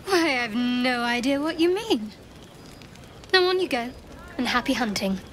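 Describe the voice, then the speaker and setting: Guarded, high-voiced young woman cleric. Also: animated, unhurried, a bit bright, slight background noise. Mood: teasing, coy. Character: guarded, wry young female cleric, dryly teasing. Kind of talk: video game character dialogue.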